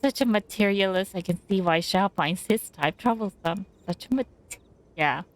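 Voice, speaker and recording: A woman's voice: medium pitch, animated, normal speed. A woman giving casual, opinionated live commentary as she plays a game, in muttered asides. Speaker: streamer reacting to a game.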